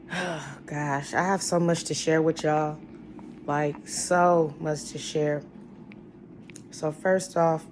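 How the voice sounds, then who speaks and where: A woman with a voice hoarse from a cold, chatting casually to her viewers and telling a long personal story. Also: sits low, a little hiss or hum, low-quality recording. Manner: chatty, rambling, addresses viewers as y'all. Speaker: vlogger.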